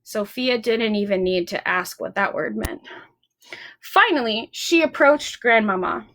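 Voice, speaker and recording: A woman's voice: medium pitch, expressive, a little slow, bright, forceful tone, low-quality recording. A woman hosting a children's storytime, reading aloud expressively and speaking simply to young listeners. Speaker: library storytime host. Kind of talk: children's picture-book read-aloud.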